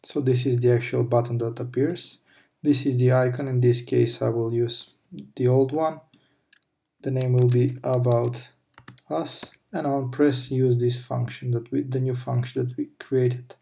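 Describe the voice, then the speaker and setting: A man talking through a coding tutorial over a screen recording, explaining step by step with pauses and self-corrections. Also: medium pitch, steady tone, creaky, dark tone. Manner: slow, halting explanation with fillers and self-corrections. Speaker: programming tutor.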